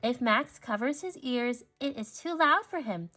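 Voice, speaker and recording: A woman voicing a kind, patient female teacher in a storybook, explaining things gently. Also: fairly high pitch, expressive, measured pace, faint music behind, exaggerated voice.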